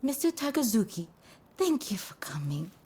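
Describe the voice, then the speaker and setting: A woman's voice: a little high, sing-song, normal speed, mellow, husky, full character voice. A woman playing a polite, anxious and devoted geisha with a deferential manner in a video game cutscene. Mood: polite, troubled.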